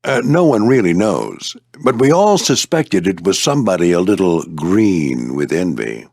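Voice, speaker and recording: Folksy old warplane mentor, lively intonation. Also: male voice, low-pitched, unhurried, gravelly, no echo, clean studio sound, exaggerated voice. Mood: storytelling. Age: older. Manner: folksy, avuncular, military clipped phrasing. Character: gruff old veteran warplane flight instructor, fatherly storyteller. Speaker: squadron skipper and mentor. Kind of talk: animated video game character voice lines.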